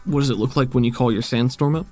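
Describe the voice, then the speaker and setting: A man's voice: medium pitch, quick pace, darkish tone. A man acting as game master, narrating a tabletop roleplaying game in a casual, easygoing, conversational way. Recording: music behind the voice. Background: music.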